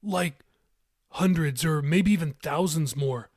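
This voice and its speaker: A man's voice: on the high side, slow pace, a little mellow, no echo, clean studio sound. Man reading as a casual, matter-of-fact young guy. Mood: puzzled, casual. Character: matter-of-fact young male who sees spirits.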